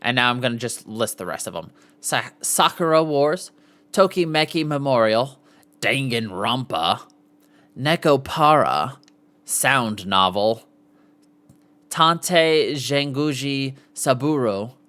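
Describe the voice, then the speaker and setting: A male podcast host with a medium-pitched, very lively voice that moves up and down a lot. He takes his time, reading aloud in an informal live-show way and tripping now and then over harder words. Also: takes it slowly. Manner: stumbles over foreign names, sounding them out.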